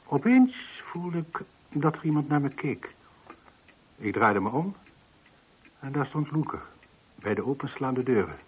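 A man's voice: medium pitch, very animated, a little slow, pauses often, a little mellow, slight background noise. An actor playing a weary, defensive man nearing sixty in a Dutch radio play, explaining himself hesitantly. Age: late 50s. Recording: muffled, band-limited old radio recording.

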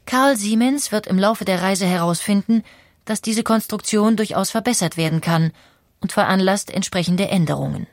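A woman reading a scripted documentary narration in a clear, explanatory way. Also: mid-range voice, moderate pace, dry recording, clean studio sound.

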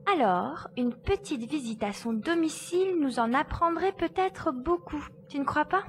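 A woman playing a determined, inquisitive investigator in a science fiction audio drama, with deliberate, assured, articulate delivery. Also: high voice, animated, a little hiss or hum. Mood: suspicious, persuasive.

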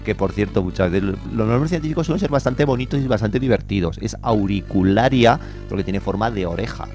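A man's voice: lowish voice, lively intonation, a little fast, darkish tone, faint music behind. A man chatting informally and enthusiastically as an environmental educator on a radio show, piling up descriptive words. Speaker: environmental educator, guest contributor. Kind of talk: informal radio chat recommending walks.

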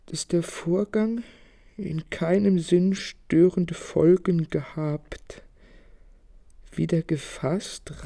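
A young painter consumed by love and torment. He speaks in a slow, literary, declamatory way, full of feeling, in the manner of a German radio drama. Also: a little high, vocal fry, dark tone, heavily acted. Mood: brooding, bitter. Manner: declamatory, emotional, literary delivery.

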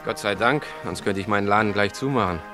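A man's voice: fairly low pitch, quick pace, over music, close to an everyday voice. A man playing a dry-humoured snack bar owner in a German radio drama, wry and matter-of-fact. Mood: wry, businesslike.

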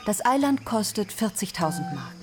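An actress playing a shrewd, resolute businesswoman past fifty in a radio drama, speaking with measured, persuasive salesmanship. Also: medium pitch, big pitch swings, natural voice. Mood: enthusiastic. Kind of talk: German radio drama acting. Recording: music bed behind the voice.

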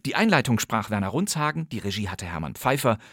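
A man's voice: fairly low pitch, big pitch swings, fast, a bit bright, no echo, clean studio sound. A man working as a radio drama announcer, reading scripted credits formally with careful enunciation.

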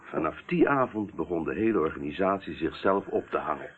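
A man's voice: sits low, animated, fairly quick, slight background noise. An actor playing a weary, sober policeman on night shift in a Dutch radio play, on an old narrow-band recording.

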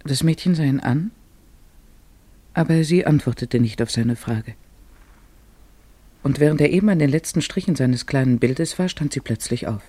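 A man's voice: medium pitch, normal speed, long pauses, mellow, no room sound. A man narrating a literary radio play in a scripted storytelling style.

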